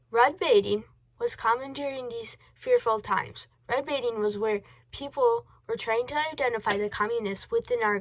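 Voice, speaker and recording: A woman with a fairly high voice presents a scripted news report. She reads it out as an amateur narrator, occasionally tripping over the wording. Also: fairly bright tone, low-quality recording. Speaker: news presenter in a student history project.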